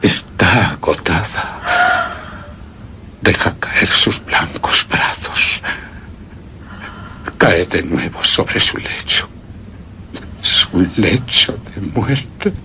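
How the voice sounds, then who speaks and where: Deep, very slow, somber narrator. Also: male voice, lively intonation, exaggerated voice. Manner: grave, dramatic, drawn-out phrasing. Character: somber man narrating his beloved's death, grieving. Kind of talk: radio horror drama narration. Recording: noisy radio drama recording.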